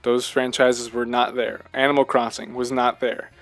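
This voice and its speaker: A man's voice: medium pitch, level tone, moderate pace, fairly bright tone, soft background music. A man co-hosting a casual video game discussion, talking in an earnest, emphatic way and often asking rhetorical questions. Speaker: podcast co-host.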